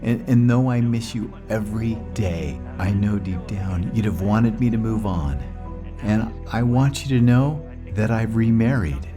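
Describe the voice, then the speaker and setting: An actor playing a dotty, sweet elderly widowed father in an audio comedy, with a halting, doddering way of talking. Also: on the low side, over music, close to an everyday voice. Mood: wistful. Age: older. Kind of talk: comedy audio drama acting.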